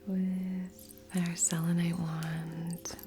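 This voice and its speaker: A woman speaking in a soft, breathy whisper as a gentle, soothing host of an ASMR guided meditation. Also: lowish voice, level tone, very slow. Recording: soft music under voice. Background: background music.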